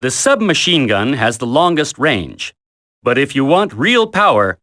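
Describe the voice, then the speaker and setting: An actor playing a military instructor in a video game tutorial, coaching a recruit in a firm, deliberate, commanding way. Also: male voice, mid-range voice, sing-song, pushed and bright. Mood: instructive, firm.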